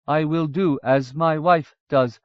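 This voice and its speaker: A man voicing a fearful, reluctant male cave-dweller who speaks slowly. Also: on the high side, steady tone, no echo, voice pushed up. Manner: slow and reluctant. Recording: dull dry audiobook recording.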